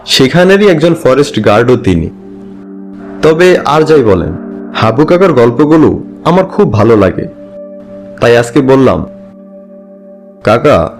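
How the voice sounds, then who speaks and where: A man's voice: mid-range voice, a little mellow. A man playing a young villager narrating a cartoon story, eager to hear old tales. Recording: faint music behind the voice.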